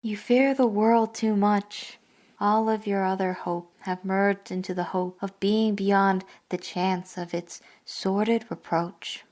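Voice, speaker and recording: An actress playing a sorrowful yet resolute young woman in a classic radio drama, speaking gravely and deliberately. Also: mid-range voice, steady tone, a little mellow, close to an everyday voice. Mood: sad, reproachful.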